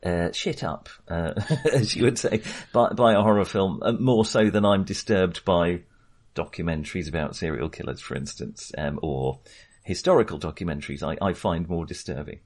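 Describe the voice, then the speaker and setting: A man with a fairly low voice speaks as a host in a relaxed discussion of film. He wanders through his thoughts, hedging and qualifying them as he goes. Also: normal speed.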